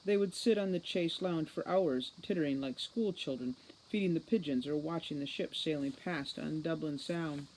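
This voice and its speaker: A woman reading an audiobook novel aloud in an expressive storytelling style. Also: low voice, normal speed, few pauses, poor audio. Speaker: audiobook reader. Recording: faint background noise.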